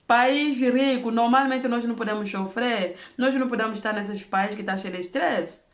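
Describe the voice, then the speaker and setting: A woman's voice: on the high side, normal speed, roomy sound. A woman from Angola with an Angolan Portuguese accent, giving earnest, emphatic advice in a monologue. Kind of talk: impassioned monologue. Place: home in Germany.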